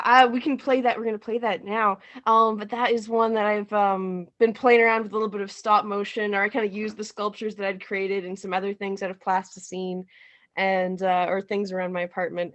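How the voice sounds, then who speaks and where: A woman giving a casual interview as a playwright, speaking haltingly and thinking aloud. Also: mid-range voice, a bit bright, dry recording. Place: remote video call.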